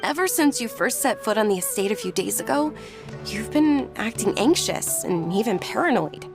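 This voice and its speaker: A woman voicing a young, sheltered and perceptive noblewoman in a video game, earnest and gently insistent. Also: a little high, lively intonation, normal speed. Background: game background music.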